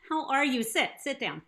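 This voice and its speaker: A woman's voice: high-pitched, a bit bright, exaggerated voice. A woman acting a friendly, teasing career woman and old flame in a sitcom table read, casual and playful. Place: video call at a table read.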